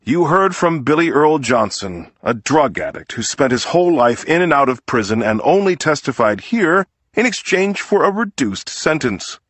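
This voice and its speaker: A man's voice: a little high, big pitch swings, normal speed, hardly any pauses, a bit bright, no echo, voice pushed up. A man voicing an indignant, persuasive defense lawyer delivering an oratorical closing argument to a jury in an audiobook. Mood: dismissive. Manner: oratorical, emphatic, scornful.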